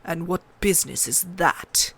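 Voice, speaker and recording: A woman's voice: on the low side, measured pace, gravelly, a bit bright, breathy edge, full character voice. A woman playing a stern, dignified nobleman and protective father in an audiobook. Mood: cold, demanding.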